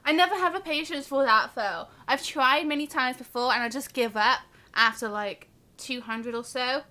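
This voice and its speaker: A woman's voice: sits high, normal speed, bright, forceful tone. A woman chatting casually and bubbly as she hosts a gaming livestream, rambling along and talking to her viewers. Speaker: livestream host.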